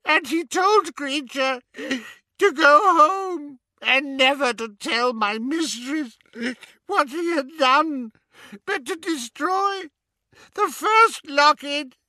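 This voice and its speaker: A wailing, sobbing old house-elf. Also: male voice, very high-pitched, slow pace, sharp tone, no room sound, voice pushed up, full character voice. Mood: sobbing, pleading. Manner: sobbing, stammering wail. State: crying. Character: elderly male house-elf, servile, grief-stricken. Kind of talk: audiobook character voice.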